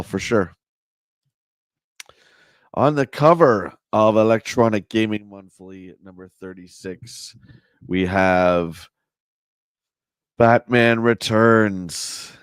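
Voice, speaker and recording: A man with a hoarse voice hosting a casual livestream, chatting and answering viewer comments. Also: lowish voice, dry recording. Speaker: livestream host. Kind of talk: casual live chat reading a magazine.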